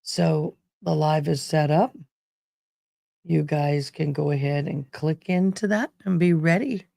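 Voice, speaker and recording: Worn-out, gravelly woman livestream host. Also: sits low, measured pace, dark tone, no echo, clean studio sound. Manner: affectionate, calls viewers babe and love. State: worn out, voice struggling. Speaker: livestream host covering a trial. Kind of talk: casual livestream chat.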